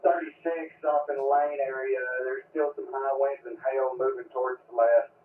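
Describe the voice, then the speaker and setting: A man's voice: medium pitch, little pitch movement, moderate pace. A man's voice comes through a narrow, phone-like two-way radio. He speaks in a procedural, matter-of-fact way, and the sound is echoey and a little distant, with faint noise. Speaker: radio net operators and storm spotters. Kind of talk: amateur radio traffic.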